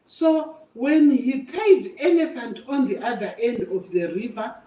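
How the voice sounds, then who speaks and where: A woman's voice: fairly high pitch, a little slow, warm tone, a little hiss or hum. An older woman telling a story to children in an emphatic, repetitive, teacherly way. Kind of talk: animated oral storytelling to children. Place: echoey room, away from the microphone. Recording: echoey room, distant microphone.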